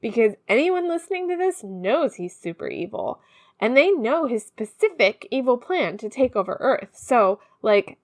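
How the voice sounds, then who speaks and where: A woman playing a chatty, slangy, conspiratorial narrator in an audio comedy, talking casually and gossipily. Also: a little high. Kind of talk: conversational audio drama narration.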